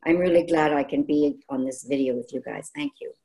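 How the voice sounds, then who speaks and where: A woman's voice: low voice, brisk, vocal fry, dark tone, slightly rough. A woman from Canada introducing herself casually as a participant on a video call. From Winnipeg, Canada. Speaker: meeting participant.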